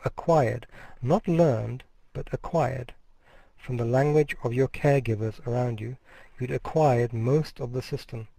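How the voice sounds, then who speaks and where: A middle-aged man delivers a university lecture in a steady, explanatory way, now and then breaking off a word to restart it. His voice sits at an ordinary pitch with a soft, mellow tone. The sound is close and dry, with no room around it. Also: gravelly, no room sound. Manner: measured, explanatory, restarts words mid-phrase. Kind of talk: recorded course lecture.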